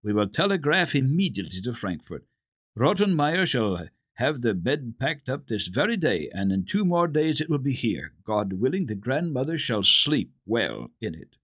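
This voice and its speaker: Give the storybook grandmamma a genteel, kindly, elderly voice as a male reader plays her. She is encouraging and expressive, with broad swings in pitch. The recording is dry. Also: moderate pace, dry recording. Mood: excited, resolute. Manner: encouraging, gently exclamatory. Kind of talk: dramatic reading of children's classic.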